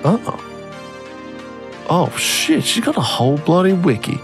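A man with a croaky, strained voice, co-hosting a podcast in casual, sweary, enthusiastic music review chat. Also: high-pitched, very animated, measured pace. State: voice strained from singing. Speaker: podcast co-host.

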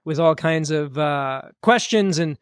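A man's voice: fairly high pitch, a little slow, clear, resonant tone. A man co-hosting a casual podcast, rambling loosely with plenty of fillers while reading show announcements. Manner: relaxed, rambling with many fillers. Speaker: podcast co-host. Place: home studio during a live stream.

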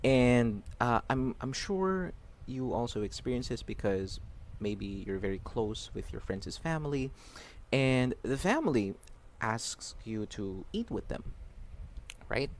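A man's voice: medium pitch, very animated, slow pace, slight background noise. A man hosting a casual podcast chat, laughing often and slipping into playful quoted asides. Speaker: podcast host.

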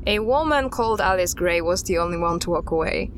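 A woman playing an earnest, guarded expert recounting dark history in an audio drama styled as a recorded interview, with a measured, serious delivery. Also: fairly low pitch, lively intonation, slight background noise. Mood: grave, measured.

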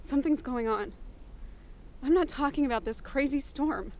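High-voiced, insistent suspicious woman. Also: normal speed, a little mellow, a little hiss or hum. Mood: suspicious, worried. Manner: insistent, questioning. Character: suspicious, pressing female companion demanding answers. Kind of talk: audio drama acting.

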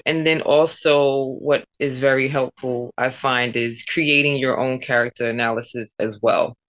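Female interviewee, voice actor; low, forceful bright tone, conversational delivery, slightly dull lo-fi recording. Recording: dull lo-fi audio.

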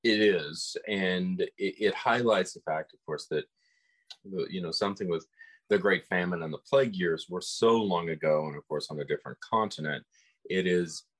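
Male podcast co-host with a low, husky voice and a measured, reflective delivery. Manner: measured, thoughtful, frequent restarts. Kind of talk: conversational discussion of folklore.

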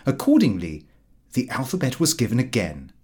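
A man reading a comic diary aloud as an audiobook narrator, in expressive scripted narration. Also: medium pitch, normal speed.

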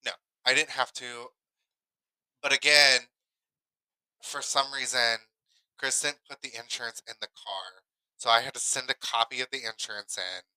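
A man's voice: mid-range voice, steady tone, moderate pace, pauses often, sharp tone, clear, resonant tone, no room sound, rough recording. A man co-hosting a podcast, telling a personal story casually and emphatically, often repeating himself to drive a point home. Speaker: podcast co-host.

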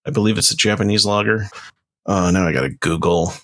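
A man's voice: deep voice, brisk, a bit bright. A man co-hosting a podcast in casual chat, hesitant and full of fillers. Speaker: podcast co-host.

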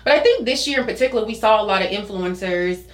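A young woman speaks as a guest on a podcast, with a medium-pitched voice. She talks informally and reflectively, like a content creator sharing candidly. The room adds noticeable echo. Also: fast. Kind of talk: casual conversational podcast chat. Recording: echoey, roomy indoor podcast sound.

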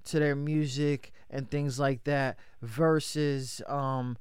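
A man hosting a casual hip-hop radio interview, with a high voice and a loose, hyped manner full of shout-outs. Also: fairly even intonation, slow, a crowd in the background. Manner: loose, hype, lots of shout-outs and fillers. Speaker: radio show host.

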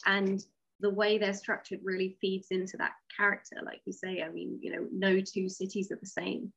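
Husky woman in casual interview chat. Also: medium pitch, completely flat delivery, normal speed, a bit bright, lo-fi sound. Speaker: interview guest, science fiction writer.

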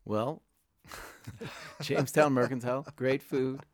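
A man's voice: medium pitch, fairly even intonation, takes it slowly, no echo, clean studio sound. A man who hosts a music podcast and speaks with a stutter, chatting casually with a guest. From Colorado. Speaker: podcast host and music writer. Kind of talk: casual interview chat.

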